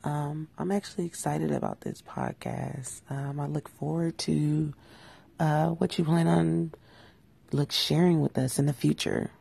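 Woman caller on voicemail: husky, raspy low voice, admiring but hesitant, heard from a distance. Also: fairly even intonation, measured pace, mellow. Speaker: caller leaving a voice message.

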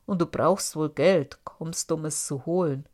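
A woman voicing a boastful, greedy male giant in an audiobook, speaking loudly and scornfully. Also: measured pace. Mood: mocking. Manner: loud, booming, scornful. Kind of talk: audiobook character voice.

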